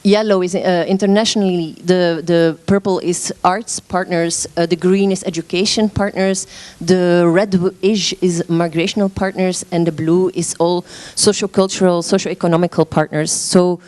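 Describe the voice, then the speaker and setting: A woman gives a conference presentation in a fairly low voice. She speaks hesitantly, pausing often with filler sounds and restarting her sentences. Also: fairly even intonation, a little mellow. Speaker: arts organization representative presenting at a meeting.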